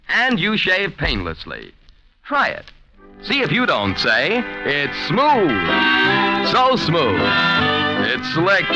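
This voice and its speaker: A man's voice: high voice, poor audio. A man announcing on 1940s radio in an emphatic, punchy salesman's delivery. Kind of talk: 1940s radio commercial pitch.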